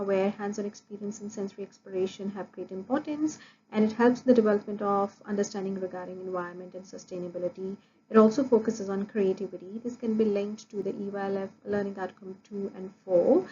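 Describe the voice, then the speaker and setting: Woman student, warm low-key tone, steady listing delivery, dull lo-fi recording. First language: Punjabi. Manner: steady, listing points with frequent "uh" fillers. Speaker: student presenting an assessment. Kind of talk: prepared academic presentation. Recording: dull lo-fi audio with faint noise.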